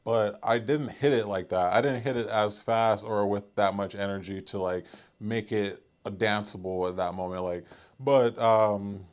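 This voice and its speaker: A man with a deep, loud voice in a casual conversation. He rambles and restarts, with plenty of fillers, and his pitch sits lowish. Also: fairly even intonation. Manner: rambling, halting, many fillers. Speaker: aspiring singer. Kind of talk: casual chat.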